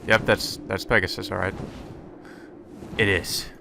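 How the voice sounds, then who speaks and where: A man reading visual novel narration aloud for a let's play, in a deliberate, dramatic manner. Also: sits low, level tone, vocal fry, noisy background.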